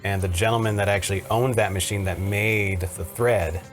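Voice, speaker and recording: A man's voice: on the low side, steady tone, normal speed, faint music behind. A man casually presenting and explaining vintage machines in an easygoing conversation.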